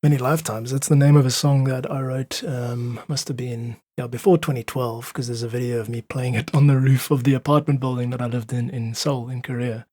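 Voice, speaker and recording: A man speaking as a podcast guest, reflective and earnest, slightly husky, making his points with emphatic repetition. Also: mid-range voice, flat delivery, fairly quick, few pauses, warm tone, dry recording. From South Africa. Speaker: podcast guest, independent musician. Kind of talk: reflective casual conversation.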